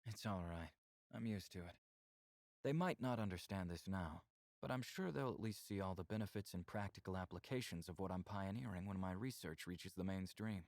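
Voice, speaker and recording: Formal, reserved alien scientist, low voice. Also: male voice, a little mellow, dry recording, clean studio sound. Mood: reserved, resigned. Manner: formal, measured, articulate. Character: earnest, reserved male alien scientist from symbiotic studies. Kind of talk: visual novel game dialogue.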